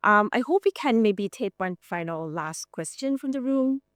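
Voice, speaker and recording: A woman's voice: medium pitch, animated, moderate pace, smooth, no echo, studio quality. A woman academic moderating a seminar, speaking spontaneously. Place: seminar room.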